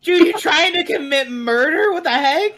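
A woman's voice: high voice, lively intonation, normal speed, a bit bright. A woman trading casual, playful banter with friends during a group game. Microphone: voice chat mic.

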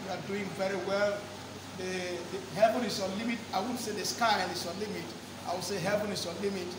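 A middle-aged man preaching a sermon from a lectern, drawing out key words slowly and repeating phrases as he builds to emphatic peaks. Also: sits high, steady tone. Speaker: pastor. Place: church hall with draped walls. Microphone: lectern microphone. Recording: echoey church hall, distant mic, background noise.

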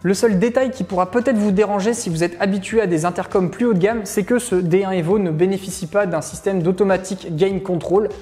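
Male gear reviewer, thirties. Rapid, conversational delivery, warm relaxed tone. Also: sits high, faint music behind. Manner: explanatory, conversational. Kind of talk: casual product review to camera. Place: small indoor room at a desk.

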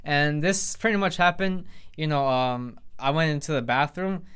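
Teen male vlogger, smooth clear voice a touch high, casual rambling delivery with lots of fillers. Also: normal speed, a bit bright. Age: teens. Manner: rambling, full of fillers and restarts. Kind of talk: casual storytime vlog. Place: indoors in front of a plain grey backdrop.

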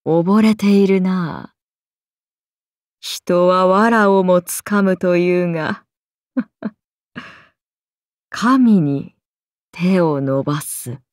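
An actress playing a haughty ancient serpent goddess in a video game, speaking in an imperious, archaic and languid way, amused and menacing. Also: female voice, on the low side, very slow, pauses often, a little mellow, dry recording, studio quality. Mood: mocking. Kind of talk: video game character voice lines.

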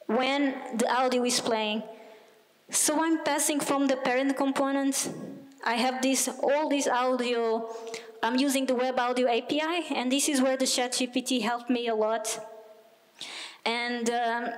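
A young woman giving a technical talk with a live demo, explaining step by step in a halting way. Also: sits high. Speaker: conference speaker, product designer. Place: small indoor meetup venue, on stage before seated audience. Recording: long hall reverb.

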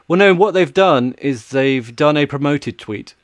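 A man's voice: on the high side, lively intonation, measured pace, no room sound. A man in his thirties explaining things in a casual tech chat, speaking into a close mic in a small room. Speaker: podcast panellist. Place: small home room with shelves.

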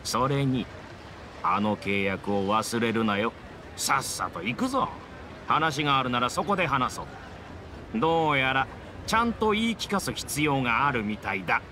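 A man's voice: medium pitch, moderate pace, background noise, lightly acted. An actor playing a pushy, smug middle-aged male reporter in a video game, with an oily, scornful way of talking. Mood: sneering, smug. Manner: oily flattery turning to sneering scorn. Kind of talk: dramatic Japanese video game voice acting.

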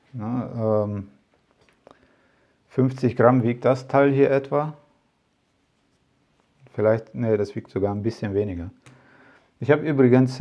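A man with a lowish, mellow voice talks loosely to his viewers about camera gear, wandering off topic and filling gaps with hesitations. The sound is a little dull and muffled. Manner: rambling, many fillers and asides. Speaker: tech vlogger. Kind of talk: casual product talk to camera.

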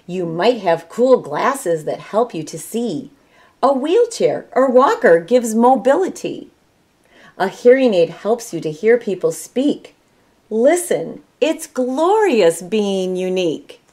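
A woman's voice: medium pitch, big pitch swings, takes it slowly, warm tone. A middle-aged woman with an American Midwestern accent, speaking expressively in the style of a children's storyteller. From Michigan, United States. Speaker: volunteer storybook reader. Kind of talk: children's read-aloud storytelling. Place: small room at home, indoors.

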